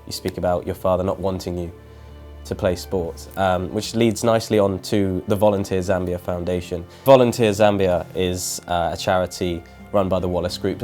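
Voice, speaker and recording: A man's voice: deep voice, darkish tone. A man working as an interviewer, asking questions and explaining things in a prepared, informative way. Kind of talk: interview questions and scripted introduction.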